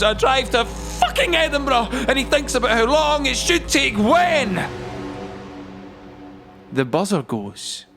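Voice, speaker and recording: A suspenseful storyteller, higher voice, takes his time, bit of music under it. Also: a bit bright, heavily acted. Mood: urgent. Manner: measured, suspenseful. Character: urgent storyteller narrator of an audio play. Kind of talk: dramatic scripted third-person narration. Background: music score.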